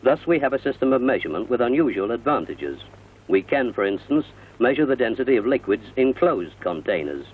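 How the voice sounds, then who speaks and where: A British man narrates in the formal style of a 1960 industrial film, explaining each point in measured phrases. His voice is slightly rough and husky at a middle pitch. The sound is thin and narrow, like an old film soundtrack. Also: very animated. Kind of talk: 1960 newsreel scripted narration. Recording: muffled, narrow-band vintage film soundtrack.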